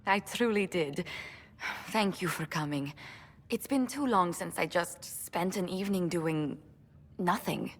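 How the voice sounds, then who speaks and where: A woman's voice: on the low side, unhurried. An actress playing a refined, earnest young woman diplomat in a video game, speaking in formal, polite phrases. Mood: delighted.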